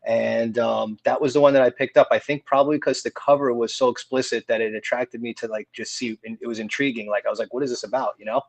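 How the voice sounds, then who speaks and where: A man's voice: medium pitch, steady tone, fast, creaky, few pauses, no room sound. A man in his forties, a hardcore band singer, talking casually as a podcast guest, rambling with many fillers and restarts. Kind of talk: casual interview chat.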